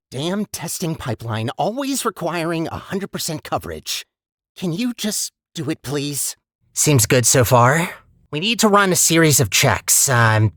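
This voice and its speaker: An actor voicing a talkative, eager and sardonic robot companion in a video game, with casual, expressive delivery. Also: mid-range voice, no echo, studio quality. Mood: anxious, hopeful.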